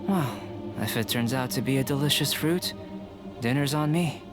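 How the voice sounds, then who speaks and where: A composed young alchemist from a video game, played by a man questions people with clinical curiosity. His mid-pitched voice stays even and polite, his phrasing scholarly and unhurried. Game music is clearly heard behind him. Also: lightly acted. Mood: calm.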